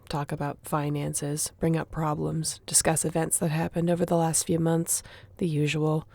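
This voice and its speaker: A woman's voice: low voice, vocal fry, mellow, no room sound. A woman playing a sassy, competitive young shifter in an audio roleplay, talking in a casual, teasing way. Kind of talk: audio roleplay acting, addressing the listener.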